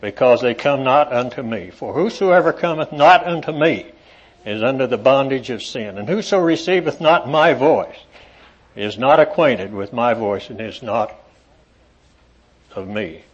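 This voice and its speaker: A man preaching to a congregation in an evening meeting, reading scripture aloud with rising emphasis and talking with halting fillers in between. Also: mid-range voice, lively intonation, breathy edge. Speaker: lay preacher. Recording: dull lo-fi recording.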